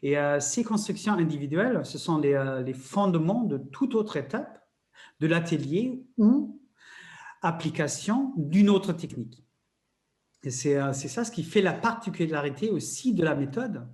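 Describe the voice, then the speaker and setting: A man's voice: fairly high pitch, animated, moderate pace, a little mellow. A man facilitator explaining a workshop method in a webinar, hesitant, with many fillers and restarts.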